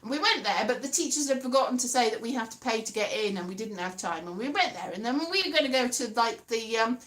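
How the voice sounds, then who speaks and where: A woman's voice: on the high side, lively intonation, quick pace, a bit bright. A Welsh woman chatting casually and rambling, correcting herself as she goes and slipping into playful sing-song. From Wales. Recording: echoey room with faint guitar music.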